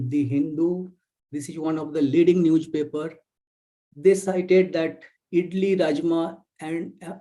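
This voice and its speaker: A man with an Indian English accent presents as a guest professor in an academic webinar, choosing his phrases carefully and haltingly. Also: on the high side, mellow, rough recording. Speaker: visiting professor, guest lecturer.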